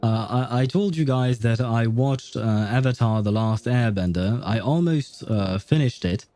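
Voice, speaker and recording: A man's voice: medium pitch, measured pace, mellow, faint music behind. A man livestreaming a game, chatting casually in a hesitant, rambling way with chuckles and casual swearing.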